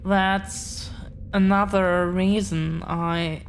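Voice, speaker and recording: A woman's voice: lowish voice, steady tone, drawn-out words, a little hiss or hum. A woman playing an affectionate, earnest dragon-blooded girlfriend in an intimate audio roleplay, confessing to the listener in a hesitant, tender way. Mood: hesitant confession.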